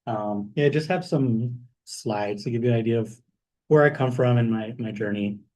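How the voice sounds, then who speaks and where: A young man from Hawaii giving an informal talk about his career as a guest speaker, relaxed, with many fillers. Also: medium pitch, normal speed, rough recording. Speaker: guest speaker, math postdoc.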